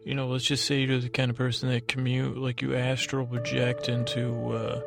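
Very creaky man, meandering bedtime rambler. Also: mid-range voice, completely flat delivery, loud music behind the voice. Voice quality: creaky, gravelly. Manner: meandering, halting, digressive; lulling, soothing. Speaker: podcast host. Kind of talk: rambling bedtime monologue to lull listeners to sleep.

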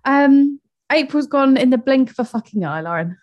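A woman's voice: sits high, expressive, normal speed, dull audio. A woman, co-hosting a podcast in casual chat, sweary and laughing often. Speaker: podcast co-host.

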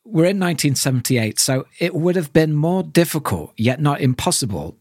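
A man co-hosting a podcast, discussing ideas casually and reflectively, feeling his way through halting phrases. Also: on the high side, lively intonation, darkish tone, no room sound, clean studio sound. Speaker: podcast co-host.

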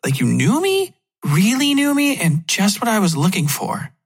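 A man voicing a bubbly, excitable female literary agent who rambles and gushes chattily, in an audiobook-style story. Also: high voice, normal speed, slightly rough, voice pushed up, full character voice. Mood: gushing.

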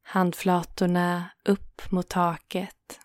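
Low, even-voiced woman guiding relaxation. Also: no echo, studio quality. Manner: calm, soothing, unhurried with gentle pauses. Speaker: meditation guide and host. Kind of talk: guided yoga nidra relaxation.

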